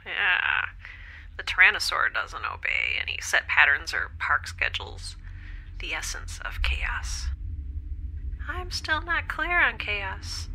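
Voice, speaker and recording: A woman playing a chatty, playful science nerd in an audio drama, half-whispering in a breathy, theatrical manner. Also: mid-range voice, wildly swooping pitch, slow, bright, forceful tone, full character voice, rough recording.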